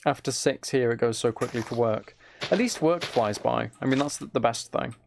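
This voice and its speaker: A very tired man chatting casually and quietly on a game livestream, rambling and slightly mumbled in a soft ASMR manner. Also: mid-range voice, moderate pace, vocal fry, a little hiss or hum. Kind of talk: casual gaming stream chat, ASMR.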